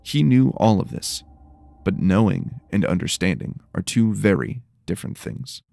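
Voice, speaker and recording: A man with a slightly tired, worn voice reading scripted, dramatic dark fantasy narration. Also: deep voice, very animated, moderate pace, creaky, no echo.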